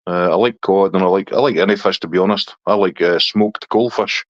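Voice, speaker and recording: A man's voice: low voice, a little fast, no room sound, muffled recording. A middle-aged man from Scotland with a Scottish accent, chatting casually on a live stream and answering viewers' comments.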